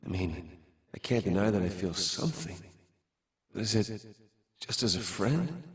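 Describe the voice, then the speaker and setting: A man's voice: lowish voice, big pitch swings, a little slow, husky, exaggerated voice. An actor voicing a conflicted young man who thinks aloud about his feelings in a game cutscene. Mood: pensive. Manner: earnest, searching, sometimes exasperated. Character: conflicted young man torn over his love life. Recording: with background music.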